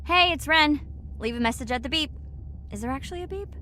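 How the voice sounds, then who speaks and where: A woman's voice: high voice, fairly quick, bright, forceful tone, slight background noise. A woman playing a dedicated, wry and caring marine scientist and diver in a video game, speaking in a natural conversational way. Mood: casual, venting.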